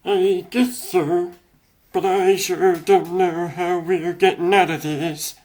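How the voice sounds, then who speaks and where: A man's voice: sits high, level tone, slow, darkish tone. A man voicing a small young mouse hero, earnest and plain-spoken, in a comic dub. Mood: worried.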